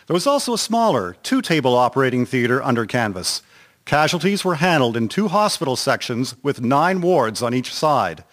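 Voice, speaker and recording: A man narrating a historical radio drama from a script in a measured, formal reading. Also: medium pitch, sing-song, a bit bright.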